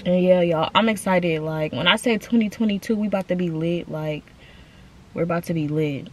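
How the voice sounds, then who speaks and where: A woman's voice: on the low side, normal speed, a little hiss or hum. A young woman in her twenties chatting casually to her viewers from inside a parked car, full of slang and calling them y'all. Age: 20s. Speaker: vlogger. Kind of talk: casual chat to camera.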